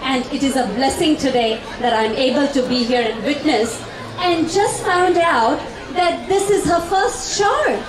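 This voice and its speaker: A woman's voice: high voice, measured pace. A woman who hosts a community TV show, giving a formal speech to an event audience. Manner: formal, addresses ladies and gentlemen. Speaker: TV show host and event sponsor representative. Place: large hall at a fashion show. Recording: echoey hall from far off with crowd.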